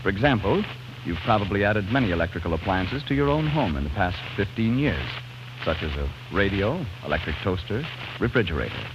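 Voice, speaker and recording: American guy announcing on 1940s radio, really animated pitch, reading sponsor copy, dull old recording w/ music behind. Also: fairly quick, background noise.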